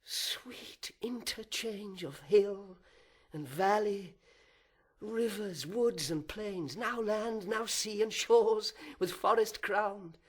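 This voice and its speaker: A man's voice: high-pitched, slow, voice pushed up. A man voicing Satan as an anguished, envious fallen angel, lamenting in grand, impassioned declamation in a classical verse reading. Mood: aching longing.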